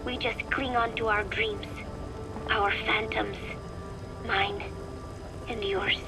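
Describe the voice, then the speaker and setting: An actress playing a wistful young woman, speaking softly and deliberately in an intimate taped diary for a video game. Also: medium pitch, fairly even intonation, over music, poor audio. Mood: bitter, sorrowful.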